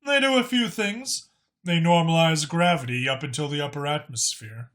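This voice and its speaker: A dignified dragon of authority played by a male narrator, pitched up and forcefully bright. He speaks formally and with emphasis, measuring out each statement in a guarded manner, as a character in an audiobook. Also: moderate pace, natural voice. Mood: explanatory.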